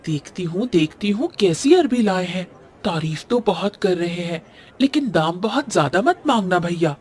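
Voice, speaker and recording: A woman's voice: fairly low pitch, animated, a little mellow, natural voice. A woman playing a no-nonsense market customer in a cartoon story, arguing insistently and bargaining hard. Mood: sceptical. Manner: brisk, argumentative, insistent. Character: firm, haggling, no-nonsense female market customer. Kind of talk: Hindi cartoon story voice acting. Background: background music.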